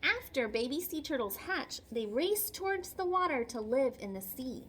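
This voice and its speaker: Animated high-voiced woman teacher reading aloud. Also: moderate pace, slight background noise. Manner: expressive, simple child-directed explaining with repetition and questions. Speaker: children's teacher reading a picture book aloud. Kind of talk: read-aloud lesson with chatty explanatory asides.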